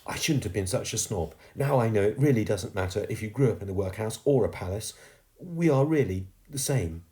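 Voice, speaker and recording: A man voicing an earnest, soft-hearted thirteen-year-old girl, well-spoken and sincere, in a storybook reading. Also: fairly low pitch, animated, brisk, lightly acted. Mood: friendly, apologetic.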